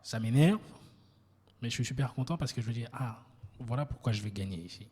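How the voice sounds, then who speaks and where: A man from Ivory Coast hosting a casual interview podcast, animated and emphatic, often repeating himself. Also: mid-range voice, brisk, husky. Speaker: podcast host. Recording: long hall reverb.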